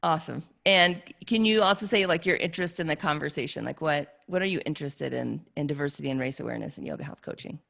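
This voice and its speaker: A woman's voice: low-pitched, fast, vocal fry, few pauses, fairly bright tone. A woman hosting a conversational podcast interview, talking loosely with frequent restarts and fillers. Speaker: podcast host.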